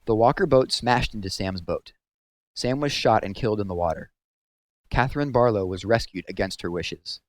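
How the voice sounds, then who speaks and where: A man narrating a story aloud in a measured, serious storytelling manner. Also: on the low side.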